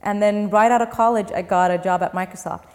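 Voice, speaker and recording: A young woman from Dubai speaks as a startup founder in an interview, laying out her plans patiently and earnestly. Her voice sits at an ordinary middle pitch. A long reverb trails behind each phrase. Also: steady tone, brisk, a little mellow. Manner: earnest, explains step by step. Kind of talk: interview answers.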